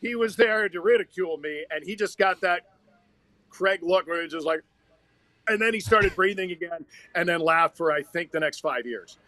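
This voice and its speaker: A man's voice: sits high, moderate pace, bright, forceful tone, no echo. An American man, a radio hockey announcer, talking and telling stories conversationally as a podcast guest. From Minnesota, United States.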